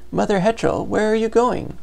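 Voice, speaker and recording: A man's voice: high-pitched, normal speed, creaky, darkish tone. An actor playing a naive, earnest seventeen-year-old boy weaver in a video game, with a questioning manner. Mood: anxious questioning.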